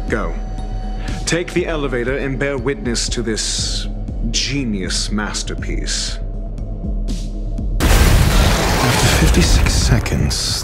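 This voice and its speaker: An actor playing an arrogant, sardonic intellectual doctor in a video game, speaking in an articulate, disdainful and deliberate way. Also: male voice, medium pitch. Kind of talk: scripted video game dialogue. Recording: game music under the voice.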